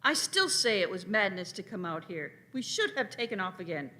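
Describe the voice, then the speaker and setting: A woman's voice: medium pitch, sing-song, moderate pace, bright, forceful tone. A woman playing an anxious young traveling companion in a radio play, urgent and questioning. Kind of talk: radio play performed by amateur players.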